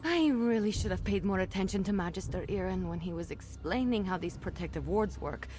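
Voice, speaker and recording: Young female mage apprentice, played by an actress, video game companion, earnest, self-blaming, expressive, mid-pitched, music behind. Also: brisk, natural voice. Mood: earnest, concerned. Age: young adult. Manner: expressive, chatty, mildly exasperated. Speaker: quest-giving companion character.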